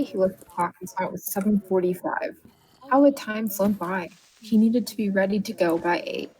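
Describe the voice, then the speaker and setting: Woman, medium pitch, dramatic narrator of short horror-comedy bedtime stories. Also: darkish tone, low-quality recording. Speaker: storyteller reading a written tale aloud.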